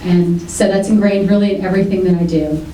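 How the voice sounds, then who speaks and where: A woman's voice: medium pitch, steady tone, quick pace, dark tone. A woman whose first language is Greek, giving a personal opening statement as a candidate at a public debate, with frequent "um" fillers. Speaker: city commission candidate. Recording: echoey room, distant mic.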